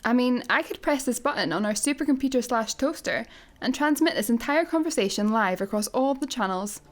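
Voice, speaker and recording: Sci-fi radio comedy: actress as young female layabout, fairly high voice, sardonic, sceptical, naturally spoken. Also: lively intonation, brisk, lightly acted. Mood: challenging.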